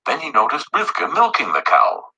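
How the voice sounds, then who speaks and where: A man narrating a children's story in an animated, scripted read-aloud style. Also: medium pitch, a little fast, husky.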